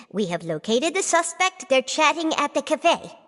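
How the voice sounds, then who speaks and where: A woman's voice: high-pitched, big pitch swings, a little slow, fairly bright tone, long reverb tail, slight background noise, exaggerated voice. A woman playing a dutiful young messenger in a video game, reporting politely and formally. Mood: dutiful, puzzled.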